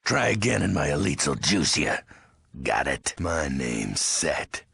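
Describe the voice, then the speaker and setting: Rasping, crazed ghoul gang boss. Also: male voice, extremely low pitch, big pitch swings, a little slow, fairly bright tone, no echo, studio quality, heavily acted. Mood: menacing. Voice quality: very raspy, gravelly. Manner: clipped street slang, theatrical swings. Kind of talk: video game character acting.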